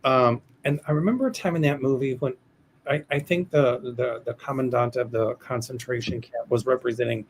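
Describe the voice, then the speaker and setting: A man's voice: medium pitch, steady tone, normal speed, slight background noise. An older American man hosting a livestream as a psychic, reading viewer questions in a hesitant, rambling way with many restarts. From United States. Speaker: psychic host of a livestream show.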